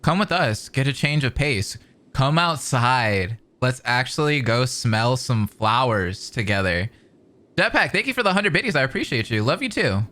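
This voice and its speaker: A woman's voice: a bass voice, animated, moderate pace, fairly bright tone. A young woman doing casual, teasing, slangy live commentary as a gaming streamer reacting to a game.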